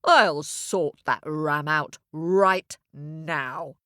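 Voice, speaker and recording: A woman's voice: sits low, drawn-out words, sharp tone, clean tone, dry recording, clean studio sound, exaggerated voice. Woman voicing a kindly, motherly storybook cow. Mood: reassuring. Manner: soothing, protective, firm. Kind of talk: children's storybook character voice.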